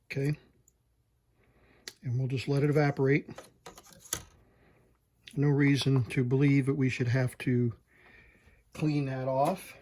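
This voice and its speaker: A man's voice: mid-range voice, little pitch movement, dark tone. A man walking through a hands-on repair, talking plainly and thinking aloud as he works. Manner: slow, plain-spoken, thinking aloud while working. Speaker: repair technician.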